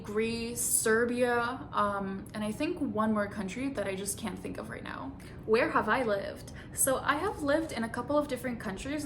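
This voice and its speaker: Young woman vlogger from Bulgaria, fairly high voice, casual chatty Q and A with easy laughs, faint rumble underneath. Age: 20s. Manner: chatty, laughs easily, self-conscious asides. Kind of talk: casual Q and A vlog.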